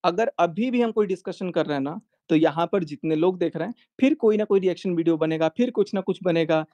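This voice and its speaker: A man's voice: high-pitched, brisk, warm tone, no echo, highs cut off. A man in a heated argument, insistently repeating himself and cutting in to make his point. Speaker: YouTube vlogger.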